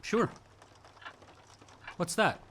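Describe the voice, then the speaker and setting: An actor playing a volatile, wounded college-age son in a radio play, with a sardonic, defensive American delivery. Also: male voice, extremely slow, fairly bright tone, slight background noise. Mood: subdued. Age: young adult. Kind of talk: naturalistic radio play acting.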